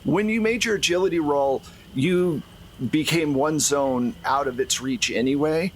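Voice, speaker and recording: A man's voice: medium pitch, slight background noise, rough recording. A man acting as game master, improvising narration for a tabletop role-playing game in a conversational, explanatory way.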